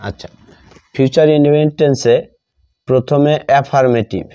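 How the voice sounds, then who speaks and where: A man, a native Bengali speaker, giving a step-by-step grammar lesson as a teacher, dictating deliberately term by term with repetition. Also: mid-range voice, fairly even intonation, dark tone, lo-fi sound.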